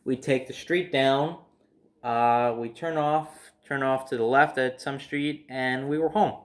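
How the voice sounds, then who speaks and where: A man's voice: mid-range voice, fairly even intonation, measured pace. An American man telling a personal story casually, in a rambling way, circling back over details.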